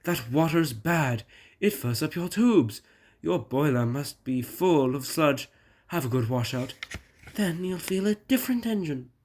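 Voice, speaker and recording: A man's voice: fairly high pitch, lively intonation, a little slow, darkish tone, pitched above the performer's own voice. A man plays a jealous locomotive from a storybook. He is boastful and self-important. His complaints come out indignant and put-upon. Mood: know-it-all. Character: Henry, jealous boastful complaining male steam engine.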